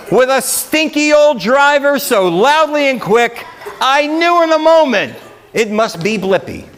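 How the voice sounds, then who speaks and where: A man doing a live storytime read-aloud of comic rhyming verse, with an animated, theatrical delivery. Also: very high-pitched. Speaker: librarian storyteller.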